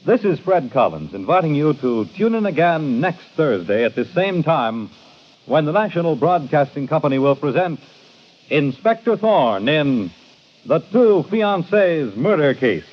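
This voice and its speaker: Old timey radio announcer guy, formal, very animated delivery, muffled old broadcast sound. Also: on the high side, measured pace. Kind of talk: 1950s radio announcing, scripted credits.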